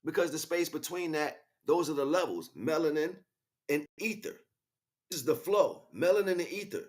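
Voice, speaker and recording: A man's voice: fairly high pitch, expressive, normal speed. Man giving an emphatic spiritual lecture. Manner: emphatic, repeats key words, checks in with rhetorical questions. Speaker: spiritual teacher and livestream host.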